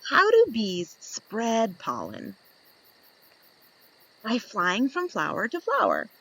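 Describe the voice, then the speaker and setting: Slow, lively woman reading to children. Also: on the high side, creaky, breathy edge, low-quality recording. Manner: expressive, playful, asks the listener questions. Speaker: children's story reader. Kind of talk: reading a picture book aloud to children.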